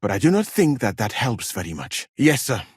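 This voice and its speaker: Game role: proud, wry scholar-physician, formal courteous phrasing, husky and expressive, recorded dry. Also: male voice, mid-range voice, no room sound. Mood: firm, defensive. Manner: formal, measured, uncontracted speech with wry politeness. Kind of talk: video game character dialogue.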